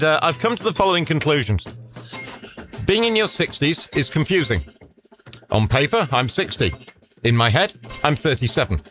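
A man's voice: fairly high pitch, a bit bright. A man in his sixties, co-hosting a radio show with hesitant, chuckling banter full of fillers. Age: 60s. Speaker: radio co-host. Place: radio studio.

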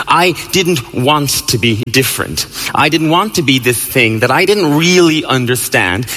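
A man in his forties giving a personal talk to an audience, deliberate and emphatic, with dramatic pauses. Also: medium pitch, pushed and bright. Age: 40s. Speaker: public speaker. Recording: echoey hall reverb.

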